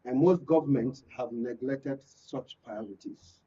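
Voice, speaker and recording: A man with a Nigerian accent speaking as a guest from the creative industry in a political panel discussion, deliberate and emphatic, often repeating phrases. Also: mid-range voice, mellow, husky. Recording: muffled, distant-mic, lo-fi studio pickup.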